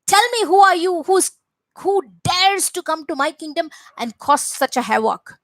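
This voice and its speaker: A haughty ten-headed demon king bellows his contempt, as a woman storyteller puts him on. The voice sits high and is close and dry, with no room around it. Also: lively intonation, normal speed, no echo. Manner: roaring, haughty, scornful.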